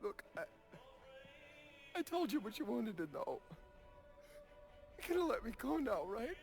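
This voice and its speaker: An actor playing a terrified American low-level gangster who pleads, stammers and swears in a video game crime drama. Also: male voice, very animated, unhurried, vocal fry, faint music behind, heavily acted. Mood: frightened pleading.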